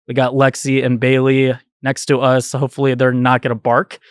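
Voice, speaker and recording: A man's voice: mid-range voice, monotone, normal speed, smooth, no echo. Casual young male podcast host, dry sound. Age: 20s.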